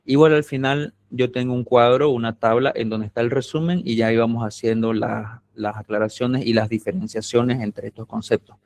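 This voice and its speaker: Male lecturer, camba accent from Santa Cruz, Bolivia. Mid-pitched, patient, explaining step by step, dry close sound. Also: steady tone, moderate pace, hardly any pauses, darkish tone, no echo. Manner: patient, explanatory, often checks in with students. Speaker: university lecturer. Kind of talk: classroom lecture.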